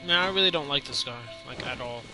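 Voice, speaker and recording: Slangy game streamer over loud music. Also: mid-range voice, fast talker, poor audio. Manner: slangy, grumbling, says "bro" often. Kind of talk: casual gameplay commentary. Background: loud video game music.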